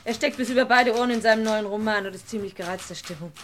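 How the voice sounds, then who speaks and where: Woman acting a subdued, quick-spoken wife, noisy recording. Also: medium pitch, fairly bright tone, natural voice. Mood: considerate, subdued. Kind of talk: 1960s German radio drama acting. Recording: noisy 1960s radio play, clicks.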